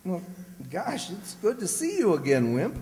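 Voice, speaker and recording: A hapless, soft-hearted grumbler, played by a man in old-time radio comedy. His fairly high voice rises and falls a lot as he gripes at an unhurried pace in a reverberant hall. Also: wildly swooping pitch, heavily acted. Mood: amiable. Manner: griping, exclamatory. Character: grumbling, hapless, soft-hearted husband full of folksy exclamations. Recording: reverberant community stage hall.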